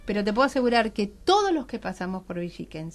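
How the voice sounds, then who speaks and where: A woman's voice: medium pitch, big pitch swings, fast talker. Argentine woman, conversational radio guest. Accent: Argentine Spanish. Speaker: guest, magazine editor and author. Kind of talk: casual radio interview.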